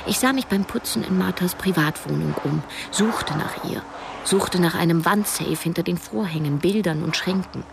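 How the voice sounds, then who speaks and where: A woman's voice: fairly low pitch, moderate pace, vocal fry, noisy background. An actress playing a wry, stubborn cleaning woman who confides her story in a German radio drama. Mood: confiding, brooding. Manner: confiding, hesitant in dialogue. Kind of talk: German radio drama first-person narration.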